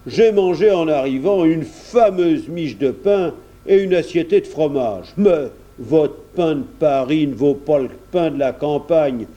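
A man's voice: on the high side, measured pace, hardly any pauses, dark tone, voice pushed up, natural voice. A man voicing a hearty, good-natured countryman in his late thirties who talks in folksy exclamations for a storybook. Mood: polite, frank. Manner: exclamatory rustic talk with folksy turns.

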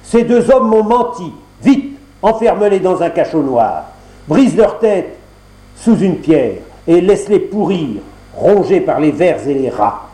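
A man voicing a furious young prince who shouts harsh orders, in an echoey room. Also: on the high side, sing-song, measured pace, voice pushed up.

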